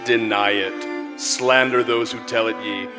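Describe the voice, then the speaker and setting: A man playing a stern, foreboding spirit in a live radio play, speaking gravely and declaiming each phrase. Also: medium pitch, fairly even intonation, measured pace, lightly acted. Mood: grave warning. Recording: live in reverberant church, music behind.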